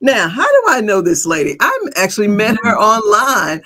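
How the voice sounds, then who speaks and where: Effusive podcast host, a woman, lively pitch, quick to laugh. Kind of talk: casual chat.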